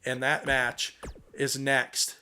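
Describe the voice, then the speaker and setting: A young man with a hoarse voice from a cold, commentating a wrestling video game play-by-play and rising to shouts on big moves. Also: medium pitch, completely flat delivery, slow pace, soft background music. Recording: home recording with clear background noise.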